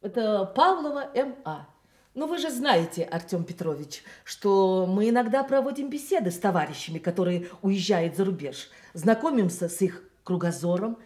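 A woman's voice: mid-range voice, animated, moderate pace, a little mellow, reverberant, natural voice. A woman acting in a Soviet radio play as a formal, defensive party official with a prickly manner. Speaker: actor.

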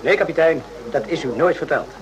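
A somewhat high-voiced army subordinate, played by a man in a radio drama. He is gentle and remorseful, reflecting carefully in short, repeated phrases. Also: lively intonation, fairly quick, crowd noise, lightly acted, poor audio. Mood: respectful. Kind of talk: radio play acting.

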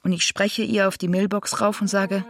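An actor playing a grown child who confronts their mother in a literary radio monologue, with deliberate, repetitive phrasing. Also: mid-range voice, little pitch movement, noisy background, natural voice. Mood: urgent.

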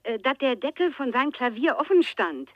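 A woman's voice: high-pitched, very animated, a little fast, dry recording. A woman playing a chatty, gossipy working-class cleaning lady in a radio drama, rambling colloquially and eager to tell. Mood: rambling, confiding. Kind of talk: German radio crime drama acting. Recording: slightly dull, dry radio recording.

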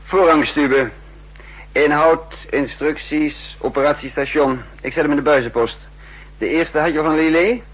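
An actor playing a brisk, sardonic counterespionage chief who schemes and commands, in a 1960s radio play. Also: male voice, on the high side, muffled recording. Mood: brisk, businesslike. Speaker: narrator and lead character. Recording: husky-sounding old 1960s radio recording.

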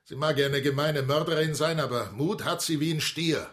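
An actor playing a gruff, blustering but grudgingly kind policeman in a radio drama, coarse and sarcastic in manner. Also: fairly high pitch, normal speed, a bit bright, close to an everyday voice. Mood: grumbling, exasperated.

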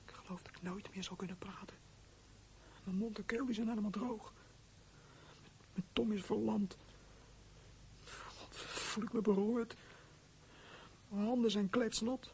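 Casting: performer as scared, evasive teenage boy, halting speech, radio drama, slightly noisy recording. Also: medium pitch, fairly even intonation, a little mellow, lightly acted. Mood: miserable, brooding whisper. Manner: stammering, hesitant. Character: nervous, frightened teenage boy, evasive and defensive. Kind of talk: Dutch radio drama acting.

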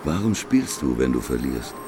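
A man's voice: extremely low pitch, big pitch swings, normal speed, music in the background. A man acting an enigmatic, stubborn prophet in a radio play, speaking laconically and in riddles. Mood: laconic, probing. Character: enigmatic prophet, calm stubborn man who gambles with paradoxes.

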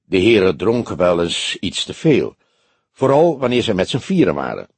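Man reading as a genteel, composed older woman, lightly husky. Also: low-pitched, sing-song, dry recording, pitched below the performer's own voice, full character voice. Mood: frank, measured. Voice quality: soft, friendly voice. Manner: measured, genteel, thoughtful. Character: older woman club member, composed, soft-spoken, frank. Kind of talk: audiobook character dialogue.

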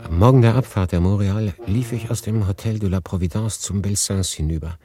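Deep, husky, sombre refugee storyteller. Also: male voice, dark tone. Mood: reflective. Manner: sombre, measured, deliberate pauses. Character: melancholy male refugee, resigned, recounting his story. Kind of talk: literary radio play, first-person narration and dialogue.

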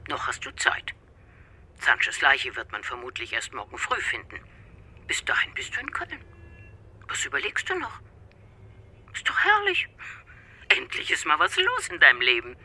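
An actress playing a bossy, adventurous older mother of a grown son in a German radio drama, brisk and impatient. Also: rumbling low, wildly swooping pitch, sharp tone, breathy edge, full character voice. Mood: urging, delighted.

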